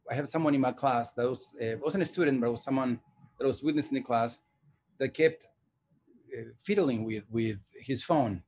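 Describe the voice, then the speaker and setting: A man's voice: mid-range voice, darkish tone. Argentine-born man in his forties, thoughtful. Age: 40s. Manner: thoughtful, hesitant, many restarts. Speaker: animation director and teacher. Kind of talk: casual interview.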